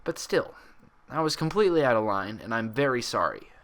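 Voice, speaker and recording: A man's voice: medium pitch, sing-song, brisk, a bit bright. A man voicing an earnest, anxious young female cartoon pony with an emotional delivery, read from a story. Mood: guilty, apologetic.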